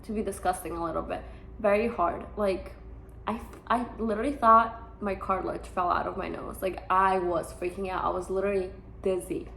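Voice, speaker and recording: A young woman chats through a casual vlog update, rambling with plenty of fillers. Her voice is mid-pitched with a lot of vocal fry. The room sounds echoey, with a faint hiss underneath. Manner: rambling, chatty, heavy use of fillers. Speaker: vlogger.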